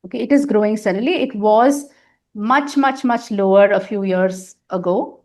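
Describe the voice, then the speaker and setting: A woman's voice: medium pitch, normal speed, darkish tone. A middle-aged woman, a guest in a candid interview, talking at length with emphasis and frequent fillers. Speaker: interview guest, nonprofit governance advisor.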